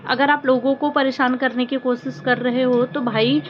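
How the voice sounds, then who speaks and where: Woman, higher-pitched, earnest and scolding, informal commentary, emphatic, faint background noise. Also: level tone, moderate pace. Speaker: vlogger commenting on social media controversy. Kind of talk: informal opinion commentary to viewers. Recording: muffled lo-fi audio with faint noise.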